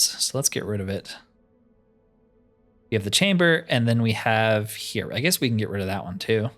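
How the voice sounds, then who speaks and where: A man's voice: mid-range voice, fairly bright tone. A man giving casual gameplay commentary in a laid-back way, musing to himself as he plays. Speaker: gaming streamer.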